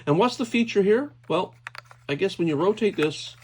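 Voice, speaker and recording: A man's voice: sits high, animated, moderate pace. Man thinking aloud over noisy clicking. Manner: hesitant, thinking aloud while handling objects. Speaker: toy unboxing video host. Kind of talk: casual unscripted hands-on commentary. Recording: clear background noise, clicking handling sounds.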